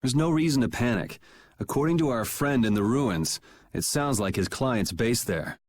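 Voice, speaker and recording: A man's voice: medium pitch, lively intonation, moderate pace, a little hiss or hum, lightly acted. A man voicing a cool, sardonic young swordsman in a video game, laid-back, wry and teasing. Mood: nonchalant. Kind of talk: English video game dub acting.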